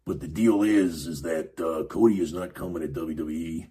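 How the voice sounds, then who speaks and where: A man hosting a podcast, talking casually about wrestling in a rambling way, with a raspy voice and lots of fillers. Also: low-pitched, steady tone, normal speed, dark tone. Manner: rambling, many fillers and restarts. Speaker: podcast host. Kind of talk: casual wrestling commentary monologue.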